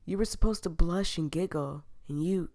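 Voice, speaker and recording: A woman's voice: on the low side, normal speed, dark tone, close to an everyday voice. A woman voicing a shy, awkward young male incubus who stammers nervously. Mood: flustered.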